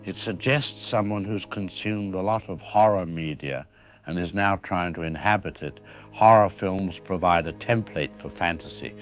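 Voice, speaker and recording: A man playing a professor interviewed as an expert in a radio drama, speaking in a measured, analytical way. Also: deep voice, lightly acted. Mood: calm, grave. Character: grave male professor explaining a killer's mind. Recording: dull lo-fi radio sound with faint music.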